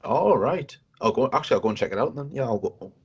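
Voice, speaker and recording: A man's voice: mid-range voice, animated, fast, husky, lo-fi sound. A man in his twenties hosting a casual interview, chatty and repeating himself with lots of filler words. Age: 20s. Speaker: show host interviewing a guest.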